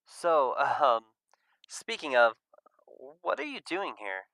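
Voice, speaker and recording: A man voicing an awkward, guilt-ridden young male furry in a visual novel, speaking in a hesitant, halting way. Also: mid-range voice, very animated, creaky, sharp tone, dry recording, exaggerated voice. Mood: hesitant, careful.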